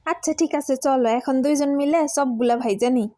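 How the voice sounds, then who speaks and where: A woman's voice: sits high, moderate pace, dark tone, lightly acted. A woman voicing a touchy, quarrelsome young wife in a comic cartoon, with a Bangladeshi accent. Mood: placated. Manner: nagging, quarrelsome. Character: touchy, moody young wife, quick to argue.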